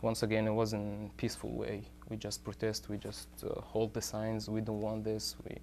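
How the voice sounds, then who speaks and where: A young man from Egypt, a student answering interview questions haltingly, full of pauses and fillers. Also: fairly low pitch, completely flat delivery, creaky, a little mellow, slight background noise.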